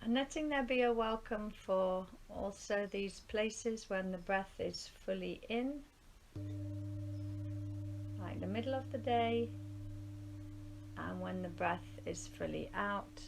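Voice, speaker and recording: Slow, creaky-voiced woman guiding meditation. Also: mid-range voice. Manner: soothing, unhurried, with long pauses. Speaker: yoga nidra teacher. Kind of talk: guided relaxation meditation, live class. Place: rural yoga studio, live group session. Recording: faint background noise with outdoor ambience. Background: birdsong and ambience from outside.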